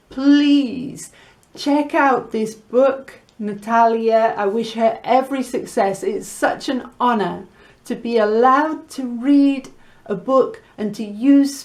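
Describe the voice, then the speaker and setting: A woman reading to children and teaching in a deliberate, teacherly way, mixing Spanish words into English. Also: fairly high pitch. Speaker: storyteller and language teacher host. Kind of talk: children's story reading and lesson chat.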